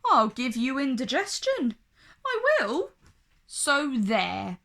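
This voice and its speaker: Woman playing a high-pitched, blustering young storybook prince. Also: wildly swooping pitch, slow, bright, forceful tone, full character voice. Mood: defiant. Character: young bullying prince, brash, boastful, petulant boy. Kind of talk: children's storybook character voice.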